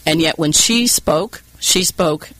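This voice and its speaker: Southern woman host, lively interviewer, dry close recording. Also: low-pitched, slow, no room sound, low-quality recording. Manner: animated, laughs often, repeats guest's phrases. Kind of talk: conversational interview.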